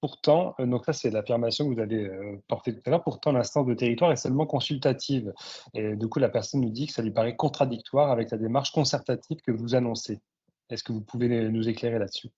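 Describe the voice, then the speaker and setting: A man's voice: mid-range voice, fast, a little mellow, slightly rough. A man moderating a webinar and relaying questions in a conversational way. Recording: dull, lo-fi online call audio.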